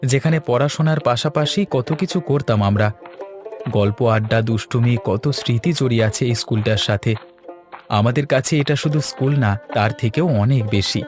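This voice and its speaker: A man's voice: mid-range voice, natural voice. A man playing an affectionate, sentimental young man coming home to his village in a cartoon, over music. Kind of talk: cartoon monologue and dialogue.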